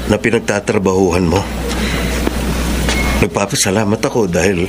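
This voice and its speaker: A man's voice: lowish voice, moderate pace, close to an everyday voice. A man playing a shy, smitten young admirer in a Filipino radio drama, with a Filipino accent. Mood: pleased, surprised. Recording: noisy background, vehicle-like ambience.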